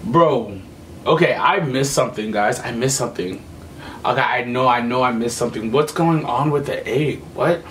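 A man's voice: medium pitch, normal speed, a bit bright, slight background noise. A young man giving casual reaction commentary as a video host, emphatic and repeating his phrases. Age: young adult. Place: small home room with computer setup.

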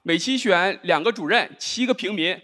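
A man in his thirties performing a deadpan stand-up comedy set on a large studio stage, speaking into a handheld microphone. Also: sits high, normal speed, heavy background noise. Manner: dry, deadpan delivery with rhetorical asides. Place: large indoor studio stage with live audience. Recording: live audience laughter.

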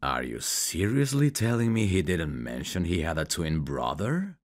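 Man acting a very deep, gravelly flirty guy. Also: wildly swooping pitch, full character voice. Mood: easygoing, wry.

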